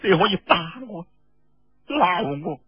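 A woman's voice: on the low side, animated, takes it slowly, slightly rough, dry recording, muffled recording, faint music behind, full character voice. A man voicing a wronged, proud young woman warrior in a storytelling broadcast, tearful, wailing and defiant. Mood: wounded, tearful.

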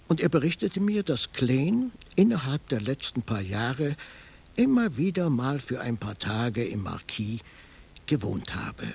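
An actor playing a dry, observant detective who narrates his case in a radio crime play with wry, measured storytelling. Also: mid-range voice, big pitch swings, creaky, darkish tone, husky, full character voice. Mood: dry, matter-of-fact. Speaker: first-person narrator.

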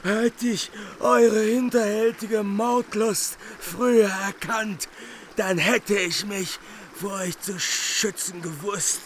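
An actor playing a proud, heroic knight in a German radio play. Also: male voice, high-pitched, steady tone, takes it slowly, a little hiss or hum. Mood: outraged, wounded. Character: proud heroic knight, boisterous, betrayed and dying.

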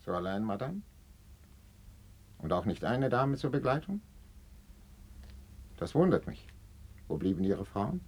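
A deep-voiced, imperious old king. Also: very animated, a little slow, long pauses, darkish tone, slightly rough, heavily acted. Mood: cold, accusing. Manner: commanding, weighty, declamatory. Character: stern, jealous, imperious grey-haired old king. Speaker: radio drama actor. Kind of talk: 1950s German stage-style radio acting of classical verse.

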